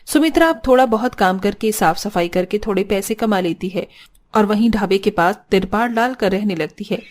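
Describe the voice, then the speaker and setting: A woman's voice: mid-range voice, moderate pace. A woman giving scripted narration for a cartoon story.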